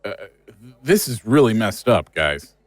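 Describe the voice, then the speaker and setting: A man playing an excitable, unsettled monster hunter in an audio drama, speaking bluntly. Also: lowish voice, measured pace, no room sound. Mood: uneasy. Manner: blunt, animated.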